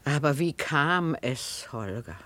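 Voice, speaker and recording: An actress playing a calm, musing knitter who probes gently and insistently, speaking deliberately and lyrically in a literary radio drama. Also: female voice, low voice, heavily acted. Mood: calm, probing. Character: calm, musing, probing listener absorbed in knitting.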